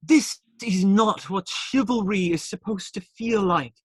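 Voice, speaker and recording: An actor playing a bold, roguish, idealistic outlaw hero in a radio play. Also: male voice, high-pitched, unhurried, breathy edge, no room sound. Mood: righteous resolve.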